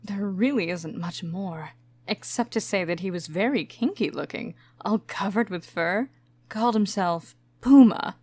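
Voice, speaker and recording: Woman playing a husky, confident antiheroine. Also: mid-range voice, unhurried, a little mellow, lightly acted. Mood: concerned, tender. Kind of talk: comic dub voice acting.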